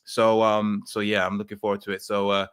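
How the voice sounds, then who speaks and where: A man's voice: fairly low pitch, completely flat delivery, brisk, a bit bright, smooth, no echo, studio quality. A man co-hosting a casual podcast, rambling with many ums and uhs as he gives his takes. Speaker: podcast co-host. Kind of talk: casual chat.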